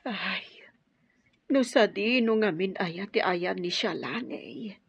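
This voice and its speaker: A woman's voice: medium pitch, slow, vocal fry, husky, full character voice. A woman acting an older, sorrowful, worried mother in a radio drama, speaking with an Ilocano accent. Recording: dull, lo-fi radio drama audio.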